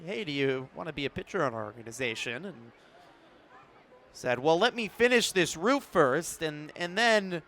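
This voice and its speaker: An American man doing sports play-by-play commentary, measured between plays and rising to excited calls. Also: on the high side.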